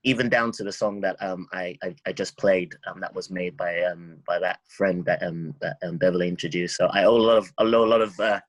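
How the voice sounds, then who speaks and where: A man speaking as a songwriter guest in an interview, reflective and halting, often repeating words as he thinks. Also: low voice, no echo, rough recording.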